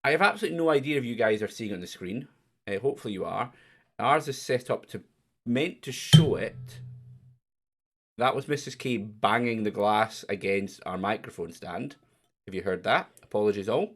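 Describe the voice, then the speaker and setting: Teasing man hosting a quiz. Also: moderate pace. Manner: teasing, chatty, lively jumps in pitch. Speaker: quiz host. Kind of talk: casual livestream chat.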